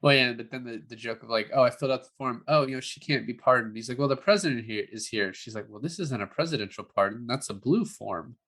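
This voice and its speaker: A man's voice: medium pitch, fast, hardly any pauses, a bit bright. A man co-hosting a movie review podcast, chatting casually about films. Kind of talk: casual film discussion.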